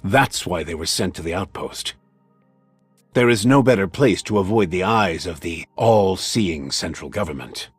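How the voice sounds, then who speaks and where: A man playing a stern, domineering older military commander in a dramatic video game, gruff, scornful and commanding. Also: low voice, animated, moderate pace, no room sound, faint music behind. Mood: guarded, probing.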